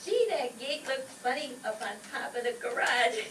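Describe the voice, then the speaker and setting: A woman's voice: sits high, very animated, a little slow. A woman playing a giggly, curious, cheeky little girl in an old-time radio comedy, with a childlike, naive way of talking. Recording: roomy, distant stage recording with noise.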